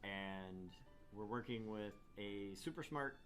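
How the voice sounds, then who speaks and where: A man's voice: fairly low pitch, slow, fairly bright tone, faint music behind. A man co-hosting a gaming livestream with casual, jokey banter. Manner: jokey, playful. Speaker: livestream co-host.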